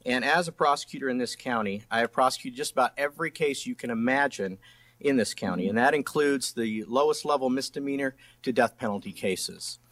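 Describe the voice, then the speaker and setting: A man's voice: mid-range voice, a little fast, fairly bright tone. A middle-aged man speaking as a candidate and district attorney in a debate, plain-spoken and often restarting his phrases. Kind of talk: political debate speech.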